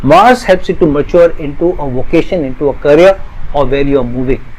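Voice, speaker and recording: A man's voice: on the high side, a little fast, low-quality recording. A middle-aged man from India, an astrologer, giving an earnest explanatory talk and stacking up long lists of parallel phrases.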